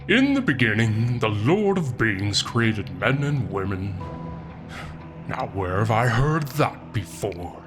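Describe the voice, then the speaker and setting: Sardonic devil , played by a man, comic audiobook narrator: theatrical, deliberate, swooping delivery, wry asides, faint music underneath. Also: on the low side, big pitch swings. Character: sardonic, foul-mouthed male Satan reading an old book aloud.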